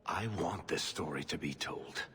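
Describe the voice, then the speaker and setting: In a long, ringing reverb with faint noise, a wry storyteller answers his questioner in a video game. His deep voice moves around a lot, conversational and sardonic, loyal underneath. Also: moderate pace, a bit bright. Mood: sardonic, defensive.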